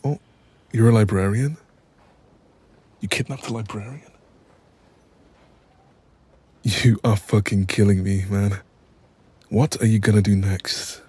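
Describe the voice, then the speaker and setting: A man playing an apologetic, exasperated mafia boss in an audio roleplay, with a husky voice and a wry, sweary, chatty manner. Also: lowish voice, dark tone, no echo. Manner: wry, sweary, chatty, calls listener doll. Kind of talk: audio roleplay acting, intimate one-sided dialogue.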